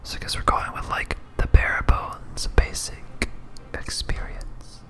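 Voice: slow pace, sharp tone. A person whispering casual, rambling ASMR commentary while playing a game, thinking aloud. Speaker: host of a gaming series.

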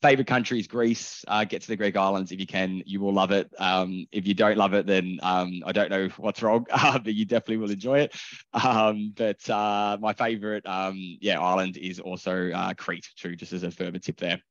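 A man hosting a recruitment webinar over a video call, in a relaxed way with many filler ums. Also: low voice, normal speed, no echo, highs cut off. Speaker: webinar host for a recruitment firm. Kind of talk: casual webinar presenting.

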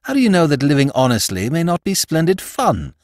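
Male narrator as suave master thief, teasing, self-assured, articulate, expressive pitch, dry close recording, storybook character. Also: fairly quick, dry recording. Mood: consoling.

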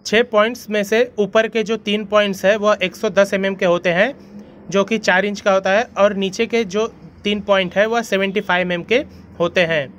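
A man's voice: high-pitched, a bit bright, dull audio, a little hiss or hum. A young man hosting a plumbing channel, explaining a pipe fitting in a friendly voice-over and often calling viewers his friends. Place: voice-over over animation.

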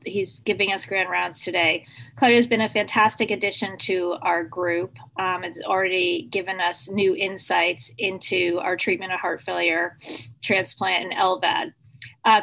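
A woman introducing a guest lecturer at a hospital grand rounds session, halting with frequent ums. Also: medium pitch, fairly bright tone, rough recording. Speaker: host introducing the speaker.

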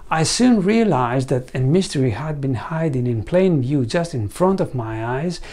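A man's voice: mid-range voice, lively intonation, normal speed, warm tone. Greek-accented man giving a vet lecture. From Greece. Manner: earnest storytelling, asking the viewer questions. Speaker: veterinarian and site founder. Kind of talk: scripted educational presentation. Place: studio set against a green screen. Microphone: lapel mic.

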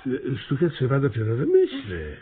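Pompous, swooping small-town mayor. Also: male voice, mid-range voice, wildly swooping pitch, normal speed. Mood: explaining. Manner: officious, pompous, hesitant fillers. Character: pompous small-town mayor, self-appointed theatre director. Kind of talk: radio comedy drama acting. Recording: muffled, noisy, phone-like radio recording.

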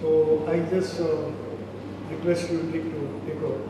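A middle-aged man hosting at a festival. He introduces the panel in a halting way with many fillers, speaking into a handheld mic. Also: a little high, flat delivery. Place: large echoing festival hall. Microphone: handheld mic, standing. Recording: echoey hall, distant, background noise.

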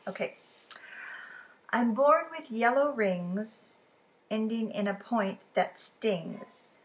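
A woman in her fifties reading riddle poems aloud for a children's storytime, expressive and deliberate, with chatty asides to the listeners. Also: a little high. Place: living room at home, indoors. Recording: clearly noisy room recording.

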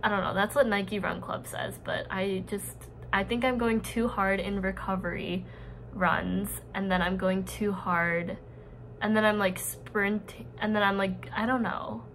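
A woman's voice: medium pitch, fairly even intonation, a little slow, a bit bright, a little hiss or hum, low-quality recording. A young woman, a college student, chatting casually to her camera in an informal vlog style, sprinkling in "like" as she goes. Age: young adult. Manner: informal, giggly, frequent filler "like". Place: dorm room and outdoor paths. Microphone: phone or camera at arm's length.

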